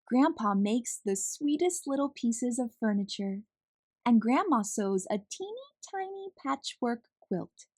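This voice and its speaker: A woman reading a children's picture book aloud as an expressive narrator. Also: on the high side, unhurried, dry recording, studio quality. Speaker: children's story reader, channel host.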